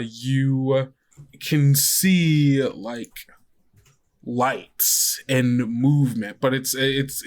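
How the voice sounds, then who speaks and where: A man narrating a tabletop role-playing game in a casual way as game master. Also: mid-range voice.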